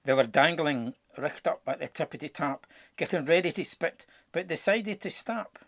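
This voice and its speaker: A man with a Scottish accent narrating a rhyming storybook in Scots with a theatrical, expressive delivery. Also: on the high side, normal speed, vocal fry. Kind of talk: dramatic rhyming verse storytelling.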